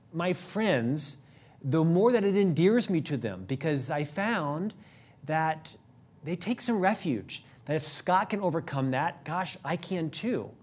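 Middle-aged male host, rather high voice, quick and brisk, slight stutter; leadership monologue. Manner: fast, brisk and upbeat, with occasional stutter slips. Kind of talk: scripted monologue to camera.

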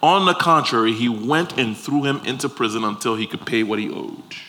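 A man's voice: mid-range voice, animated, fairly quick, sharp tone. Emphatic male preacher, noisy live recording. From Liberia, West Africa. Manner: emphatic, rhetorical questions, repeats phrases for effect. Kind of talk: sermon to a congregation. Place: live before a congregation. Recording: clear background noise, live room ambience.

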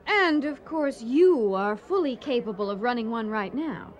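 Actress as a sharp-tongued, high-voiced proud chef. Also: female voice, animated, normal speed, natural voice. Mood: offended. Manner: pointed, sarcastic. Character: proud, competitive, easily stung female chef. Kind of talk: comedic radio drama acting.

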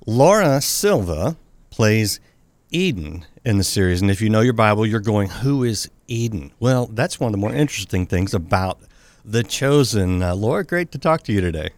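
A man with a lowish voice hosts an interview, introducing his guest and asking questions in a friendly, encouraging way. The recording is dry and close, with no room sound. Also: animated, moderate pace, no room sound. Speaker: interviewer host.

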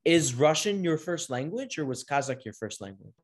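A man hosting an interview, asking friendly, somewhat rambling questions and warmly affirming the answers. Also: on the high side, sing-song, normal speed. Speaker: interviewer host.

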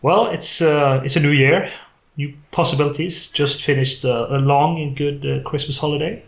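Gravelly Norwegian man in an echoey room. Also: fairly high pitch, fairly even intonation, normal speed. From west coast of Norway. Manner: hesitant, filler-heavy. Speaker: podcast guest. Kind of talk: casual chat over a call.

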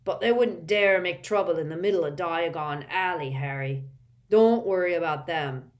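A woman voicing a big, cheerful, easygoing man, bright and unconcerned. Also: fairly low pitch, moderate pace, dull audio, lightly acted.

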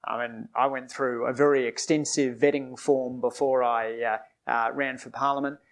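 A man, a politician and author, answering audience questions at a live book discussion in a measured way, with frequent fillers and restarts. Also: medium pitch, little pitch movement. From Australia. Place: event hall with audience.

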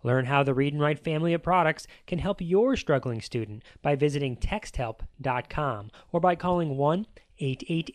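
A man's voice: on the high side, no room sound, clean studio sound. An American man narrating a scripted podcast as host, with an emphatic, upbeat presenter delivery.

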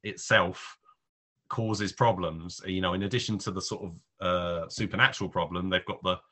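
A man's voice: low-pitched, steady tone, moderate pace, sharp tone. A man reviewing films on a podcast in casual talk, thinking aloud in rambling, hesitant clauses. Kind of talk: casual spoken film review.

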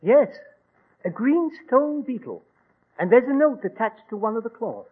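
An actor playing an anxious, solemn male dignitary, formal and deliberate, in a 1940s radio drama. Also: extremely high pitch, big pitch swings, breathy edge, exaggerated voice. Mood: grave. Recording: muffled, band-limited 1940s radio recording.

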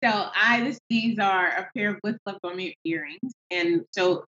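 A woman from Nigeria with a Nigerian accent, a guest entrepreneur chatting lively and laughing easily in an interview from home. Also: medium pitch, normal speed. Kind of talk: casual interview chat. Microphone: video call. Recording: roomy, dull video call audio.

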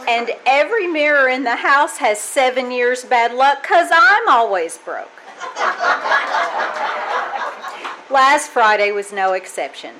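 A woman playing a wry, weary schoolteacher with a deadpan, sardonic delivery in an old-time radio comedy. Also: a little high, natural voice. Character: wry, weary female schoolteacher with dry wit. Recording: faint noise, live stage performance.

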